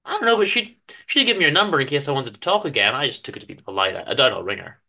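A bright, forward voice for a mild, wistful storybook husband, acted by a man. He stammers and hesitates, chatting on in a mopey but gentle way. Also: mid-range voice, big pitch swings, rapid-fire, creaky, heavily acted. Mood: gentle, sheepish. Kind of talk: amateur dramatic story reading.

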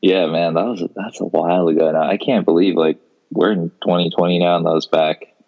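A man's voice: deep voice, steady tone, a little fast, muffled recording. A man in his twenties from Seattle, a guest on a podcast, talking in a laid-back way with many fillers and restarts. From Seattle, Washington, US. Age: 20s. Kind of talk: casual interview chat.

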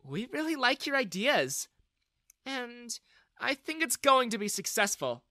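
An eager young woman in an audio story, played by a woman, earnest and encouraging. Her voice is fairly high, bright and animated, recorded close and dry. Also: unhurried, no echo, heavily acted.

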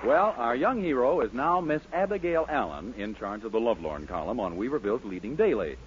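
Casting: male old-time radio announcer-narrator, brisk scripted delivery, lively swinging intonation. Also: mid-range voice. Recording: muffled, noisy old radio recording.

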